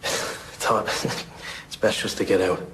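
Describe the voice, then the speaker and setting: A man playing an evasive, self-justifying suspect in his thirties, speaking haltingly in a crime drama. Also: sits low, level tone, a bit bright, slight background noise. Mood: upset, defensive. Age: 30s. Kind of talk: naturalistic British crime drama acting.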